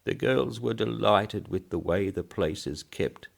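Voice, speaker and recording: A man's voice: on the low side, a little slow, creaky, voice pushed up, heavily acted. A man voicing a frail old Englishman in a storybook reading, chatty and rambling, with a piping, quavering voice. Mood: chatty, pleased. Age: older. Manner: rambling, complaining gossip. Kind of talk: short story character voice.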